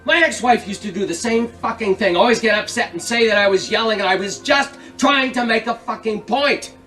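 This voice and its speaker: A man's voice: medium pitch, big pitch swings, normal speed, faint music behind, lightly acted. An actor playing an angry, foul-mouthed, defensive man who argues in exasperation, in a naturalistic drama. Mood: furious, loud. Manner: swearing, combative, exasperated. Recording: distant microphone.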